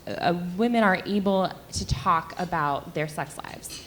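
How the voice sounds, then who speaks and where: A woman's voice: on the low side, measured pace, fairly bright tone, slight background noise. A young woman speaking candidly as a panelist at a luncheon, conversational with frequent fillers. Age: young adult. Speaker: panelist, sex and relationship editor. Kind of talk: panel discussion remarks. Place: luncheon event hall.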